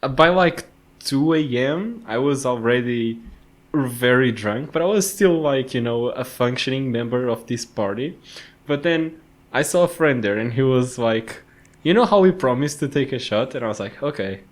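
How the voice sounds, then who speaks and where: A young man with a medium-pitched voice chats on a podcast. He tells personal anecdotes casually, poking fun at himself as he goes. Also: animated, normal speed. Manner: joking, self-mocking.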